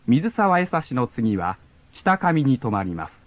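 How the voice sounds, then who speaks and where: A muffled cassette recording carries a man's train announcement, with very little room echo. His mid-pitched voice rises and falls in a lively way. He delivers the script formally and politely, in measured phrases. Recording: dull lo-fi cassette tape.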